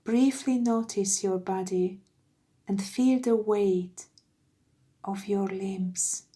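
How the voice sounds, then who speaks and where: A woman guiding a meditation in a soft, soothing, gentle voice with breathy phrase endings. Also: mid-range voice. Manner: soothing, gentle, unhurried with long pauses. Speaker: meditation guide. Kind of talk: guided meditation narration.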